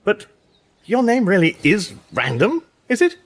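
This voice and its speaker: A man's voice: high-pitched, huge pitch swings, a little slow, heavily acted. An actor playing a flustered, awkward father in a radio comedy, hesitant, with faint noise behind him. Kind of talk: sci-fi radio comedy acting.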